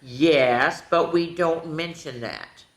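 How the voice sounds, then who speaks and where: A woman's voice: low voice, slow pace. A teasing grown-up donkey, read by a woman gives emphatic storybook answers. The voice sounds in a roomy, echoing space. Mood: coy.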